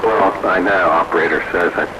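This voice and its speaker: A man's voice: deep voice, steady tone, fairly quick. A man making casual banter as an operator over CB radio. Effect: CB radio transmission. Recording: very noisy CB radio reception.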